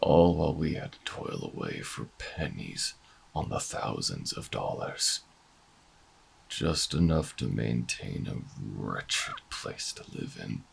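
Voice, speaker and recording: A bitter old revolutionary fugitive in a sci-fi audio drama. His voice is extremely low and full of gravel, and he speaks slowly, with brooding, defiant theatricality. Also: sing-song, a little hiss or hum, full character voice. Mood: bitter, anguished. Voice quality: very gravelly, husky. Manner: dramatic, brooding, halting monologue. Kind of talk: audio roleplay drama acting.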